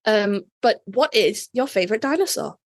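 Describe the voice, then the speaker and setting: A woman's voice: a little high, animated, normal speed, no room sound, clean studio sound. A woman co-hosting a podcast in a chatty, playful way, often restarting her sentences. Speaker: podcast co-host. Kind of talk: casual chat.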